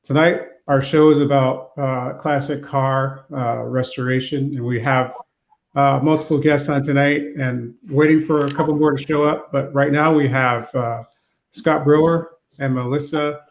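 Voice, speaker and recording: A man's voice: mid-range voice, steady tone, normal speed. Man hosting a podcast, echoey room. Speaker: podcast host. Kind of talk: hosting an online panel talk. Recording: roomy, echoing sound.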